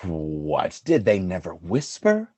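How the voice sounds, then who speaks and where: An actor playing a jealous, tormented lord and husband in a Shakespearean radio play, theatrical and volatile, with a husky voice. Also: male voice, sits low, wildly swooping pitch, slow, no room sound, heavily acted. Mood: suspicious, brooding. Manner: theatrical, volatile, swinging between quiet and fury.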